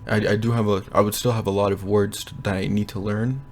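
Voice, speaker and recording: Gravelly, low-voiced young man rambling. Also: little pitch movement, darkish tone, a little hiss or hum. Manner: rambling, hesitant, pauses to think aloud. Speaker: language learner vlogger. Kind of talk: casual progress update. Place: bedroom at home.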